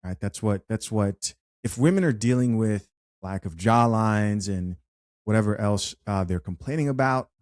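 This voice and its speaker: A man's voice: lowish voice, normal speed, dry recording. Chatty man hosting commentary, dry sound. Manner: chatty, animated, with frequent fillers and restarts. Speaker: host. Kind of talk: unscripted commentary reading viewer comments.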